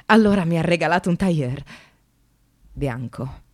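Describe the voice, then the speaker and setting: A woman's voice: low voice, lively intonation, normal speed. An actress playing an ironic, anxious woman in precarious work, confiding her life in a wry, theatrical radio monologue. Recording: radio play with music behind.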